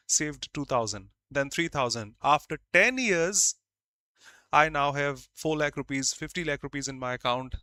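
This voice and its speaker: A man's voice: on the high side, sharp tone, no echo. A man from India, a Hindi speaker, teaching an online grammar class and stressing key words by repeating them.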